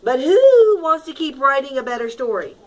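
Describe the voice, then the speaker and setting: A woman teacher reading a picture book aloud to her class in an expressive, dramatic manner, leaning on key words and prompting children to repeat. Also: sits high, normal speed, lo-fi sound. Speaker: primary school teacher.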